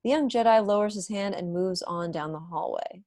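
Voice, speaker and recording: A woman's voice: medium pitch, moderate pace, a little mellow, dry recording, clean studio sound. A young adult woman reading stage directions as narrator in an online table read, recorded at home over earphones on a video call. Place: small room at home, indoors. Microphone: wired earphones on a video call.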